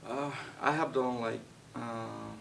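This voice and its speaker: A young man from Nepal, a guest actor, answering interview questions in a halting way with many fillers and restarts. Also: fairly even intonation, creaky, fairly bright tone, faint music behind. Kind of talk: casual interview. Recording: distant mic with faint rumble.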